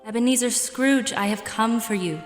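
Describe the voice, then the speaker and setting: A woman plays a childlike, ageless spirit in a radio play. The voice is fairly high, serene and commanding, ringing with echo over music. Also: moderate pace, natural voice. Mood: solemn. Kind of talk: radio drama acting. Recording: echoey hall reverb.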